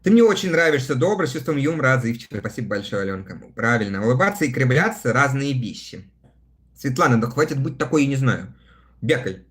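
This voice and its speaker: A man in his thirties hosts a relaxed livestream, reading viewer messages aloud and answering them in a chatty way. His pitch sits in a middle register but swings widely and expressively, and he laughs often between comments. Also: fast talker. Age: 30s. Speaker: live streamer reading viewer chat. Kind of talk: casual livestream chat.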